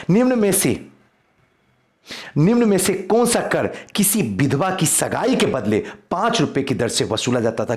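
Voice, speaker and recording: A man teaching an exam quiz lesson, reading out questions and repeating key answers for emphasis. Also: a little high. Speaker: history teacher for civil service exam coaching.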